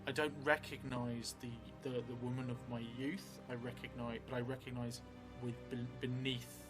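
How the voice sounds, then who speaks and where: A middle-aged man thinking aloud as he improvises a story for a solo role-playing game, halting and musing. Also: medium pitch, little pitch movement. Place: small indoor room at a table. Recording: music behind the voice.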